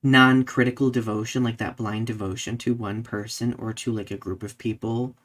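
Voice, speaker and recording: A man co-hosting a live podcast in casual discussion, halting and peppering his talk with "like" and questioning tags. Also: medium pitch, flat delivery, a bit bright. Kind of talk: casual discussion, reading viewer comments.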